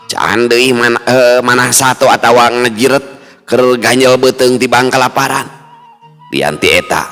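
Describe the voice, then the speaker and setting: A man's voice: sits low, bright, forceful tone, voice pushed up. A man voicing an impatient, resentful male underling in a folk story, grumbling and pushy as he complains. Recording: long hall reverb, music underneath.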